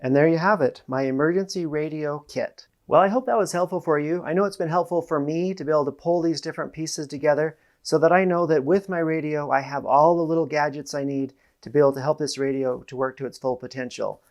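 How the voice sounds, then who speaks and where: A man's voice: on the high side, quick pace, few pauses. A middle-aged American man hosting a product demonstration to camera, explaining things in a plain-spoken way. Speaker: host. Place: small indoor room with panelled wall. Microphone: lapel mic.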